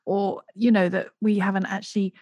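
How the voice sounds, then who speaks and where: A woman hosts a podcast in a conversational interviewing style. Her pitch sits in the middle for a female voice. The recording is dry, with hardly any room sound. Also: flat delivery, fairly quick, darkish tone, dry recording. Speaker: podcast host.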